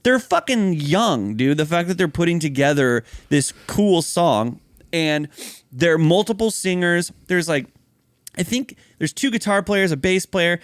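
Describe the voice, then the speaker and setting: A man co-hosting a podcast, chatting casually and excitedly, swearing freely. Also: a little high, unhurried, no room sound. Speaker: podcast co-host. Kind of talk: casual chat.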